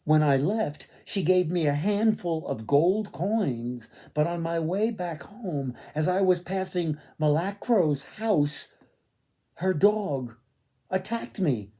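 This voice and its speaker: A man telling a folktale dramatically, with theatrical, deliberate phrasing. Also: fairly high pitch, expressive, mellow. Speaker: author, storyteller.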